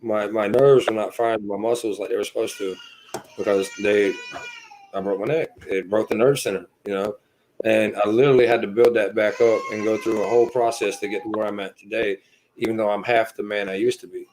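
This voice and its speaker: A man in his thirties, a podcast guest, speaks in a fairly low voice, earnest and halting. He keeps circling back with "you know" as he works through his thoughts. A faint hiss sits under the recording. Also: steady tone, darkish tone, rough recording. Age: 30s. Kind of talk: personal story in a podcast interview.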